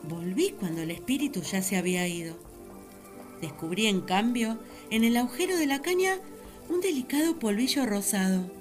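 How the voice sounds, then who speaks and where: An actress playing Eve, a young, excitable, self-assured first woman, narrating with dramatic pauses in a radio play. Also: mid-range voice, soft background music, lightly acted. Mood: wonder.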